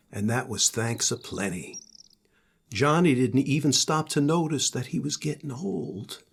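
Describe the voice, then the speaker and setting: An older man, a grandfather, reading a children's storybook aloud in a husky voice. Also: medium pitch.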